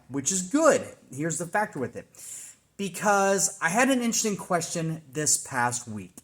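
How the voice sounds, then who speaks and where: A man's voice: on the high side, fairly bright tone. A man in his forties, a fitness trainer, talking casually through a weekly check-in and explaining things with plenty of fillers and restarts. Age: 40s. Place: home workout room.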